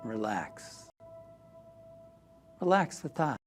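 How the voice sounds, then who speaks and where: A man leading a guided meditation as a minister, gentle and soothing, often softening toward a whisper. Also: mid-range voice, sing-song, very slow, faint music behind.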